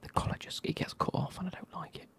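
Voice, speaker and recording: A man, reviewing football kits in a soft, casual whisper with wry asides. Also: fast talker.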